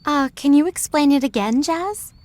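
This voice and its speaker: A woman's voice: high-pitched, lively intonation, moderate pace, a little mellow, dry recording. A woman voicing a polite, anxious young female rebel in a video game, hesitant and questioning. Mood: earnest, uncertain.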